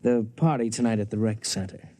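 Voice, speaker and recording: A man's voice: on the low side, brisk, warm tone, close to an everyday voice. An actor playing a callous, casual young man in a 1950s radio drama, offhand and blunt.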